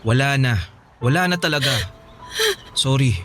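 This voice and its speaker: A man's voice: medium pitch, moderate pace, noisy background, close to an everyday voice. A Filipino actor playing an earnest young man, firm but apologetic, in a radio drama. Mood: sad, apologetic.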